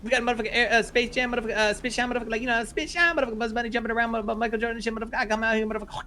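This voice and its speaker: A man's voice: very high-pitched, fairly even intonation, racing, sharp tone. A man playing a profane, rambling, streetwise ex-convict in an online roleplay game, swearing constantly and stumbling over his words.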